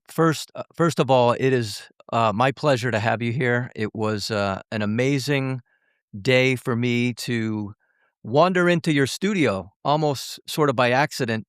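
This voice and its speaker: A man hosting a podcast interview, speaking courteously in halting phrases with pauses. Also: mid-range voice, clear, resonant tone, no echo, clean studio sound. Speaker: podcast host.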